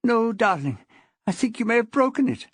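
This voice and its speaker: A woman's voice: fairly high pitch, very animated, normal speed, breathy edge, no echo, clean studio sound, pitched above the performer's own voice. A woman voicing a placating, consoling woman with a sing-song, almost hypnotic delivery in an audiobook. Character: placating woman soothing her dangerous ex-husband, sing-song.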